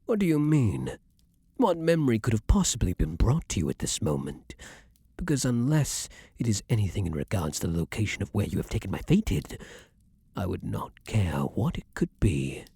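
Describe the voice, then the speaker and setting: A man playing an imperious, lovesick vampire king in an intimate ASMR fantasy roleplay, speaking formally and theatrically with breathy, dramatic pauses. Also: lowish voice, sing-song, mellow, no room sound, studio quality, heavily acted. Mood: cold menacing fury. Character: ardent, imperious male vampire king, lovesick then menacing.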